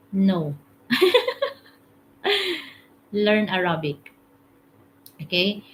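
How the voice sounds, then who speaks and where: A woman's voice: mid-range voice, a bit bright, slight background noise, low-quality recording. A woman from the Philippines with a Filipino accent, hosting a casual live stream, reading comments aloud, chatting and laughing often. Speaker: TikTok live host. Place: home room, currently in UAE.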